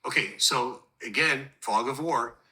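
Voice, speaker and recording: A man with a very bright, forceful voice gives explanatory commentary. His manner is earnest and somber, and he speaks plainly, like an analyst walking listeners through a recording. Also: mid-range voice, a little slow, poor audio. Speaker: host, aviation analyst explaining air traffic control audio.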